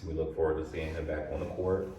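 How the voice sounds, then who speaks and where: Deep-voiced man, echoey and distant. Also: fast talker. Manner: animated storyteller, laughs often. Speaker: podcast host. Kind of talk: casual chat. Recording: echoey room from far off, faint noise.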